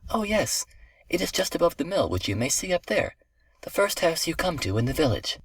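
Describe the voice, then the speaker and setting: A man's voice: fairly high pitch, sing-song, a little fast, husky, dry recording, voice pushed up, full character voice, rough recording. A man voicing an innocent, cheerful little village girl in a storybook tale, with a sweet and polite manner.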